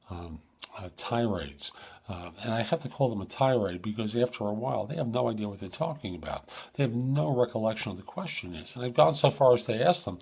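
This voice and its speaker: Male advice-show host, low and raspy, informal coaching delivery with emphatic points. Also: darkish tone. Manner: informal, emphatic coaching, acts out sample questions. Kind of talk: conversational advice monologue.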